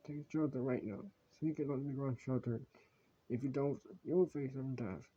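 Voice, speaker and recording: A grave emergency alert announcer, played by a man, delivers urgent warnings in a mock broadcast. The delivery is halting and repetitive, with stumbles over the script. The sound is dull and lo-fi, with a middling pitch. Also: dark tone, lightly acted. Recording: dull, lo-fi home recording.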